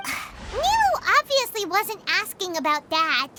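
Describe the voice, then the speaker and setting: A woman voicing a tiny, childlike fairy companion in a video game, squeaky, animated and blunt. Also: slow, background noise, full character voice. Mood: wry. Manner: talks of herself in third person, animated.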